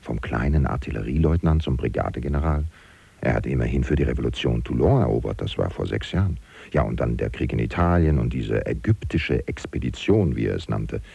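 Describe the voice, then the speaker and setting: Gossipy manservant, very deep gravelly voice. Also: male voice, lively intonation, brisk, dark tone, highs cut off, full character voice. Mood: catty, gossipy. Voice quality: gravelly, husky. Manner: chatty, insinuating, mock-scandalized. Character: gossipy, catty manservant of a powerful French politician. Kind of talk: German radio play monologue, confiding to the listener.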